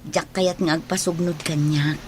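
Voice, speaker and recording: An actress playing a gentle, patient, protective elder sister in an Ilocano radio drama, speaking in an emotive, coaxing way. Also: female voice, deep voice, steady tone, normal speed, slight background noise, natural voice. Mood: worried, pleading.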